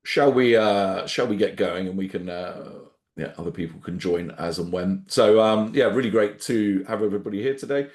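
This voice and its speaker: A man with a head cold and a nasal voice, hosting a webinar on a video call in a relaxed, rambling way. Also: fairly low pitch, moderate pace, echoey room. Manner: relaxed, rambling, frequent ums and restarts. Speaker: webinar host, startup founder. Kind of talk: casual webinar presentation.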